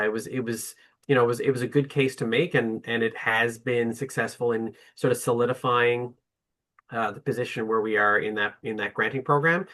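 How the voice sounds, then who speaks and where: Male panelist, bright tone, halting with lots of fillers. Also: lowish voice. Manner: hesitant, many fillers and restarts. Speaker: orchestra representative. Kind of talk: webinar panel talk.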